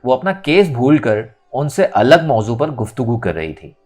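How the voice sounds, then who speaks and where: A man's voice: mid-range voice, big pitch swings, moderate pace, a little mellow. A man reading a novel aloud as dramatic scripted narration. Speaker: audiobook narrator. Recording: music under the voice.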